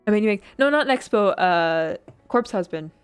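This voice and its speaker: A woman chats casually as she builds a model kit, drifting between thoughts with plenty of fillers and self-mocking giggles. Her voice sits at an ordinary middle pitch. She sometimes turns away from the desk mic, and soft music plays faintly behind her. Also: lively intonation, moderate pace, smooth. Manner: rambling, filler-heavy, giggly, self-deprecating. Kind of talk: casual chat while building, reading chat.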